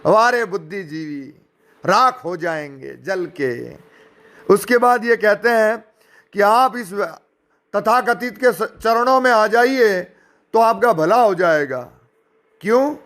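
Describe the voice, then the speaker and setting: A man's voice: sits high, slow, fairly bright tone. A man giving an informal spoken rebuttal, sarcastic and indignant, mocking his opponents and firing rhetorical questions. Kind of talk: informal spoken rebuttal, addressing listeners directly.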